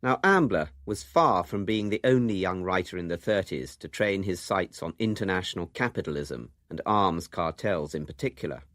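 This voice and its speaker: A man's voice: deep voice, expressive, normal speed, hardly any pauses, fairly bright tone, no room sound, studio quality. A British man presenting a scripted radio documentary in a wry, urbane way.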